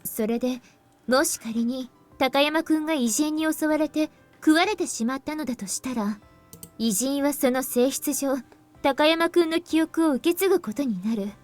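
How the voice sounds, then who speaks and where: An actress playing a composed young woman in a Japanese visual novel, explaining things in a deliberate, grave manner. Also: high-pitched, darkish tone, soft background music, close to an everyday voice. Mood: grave, explanatory.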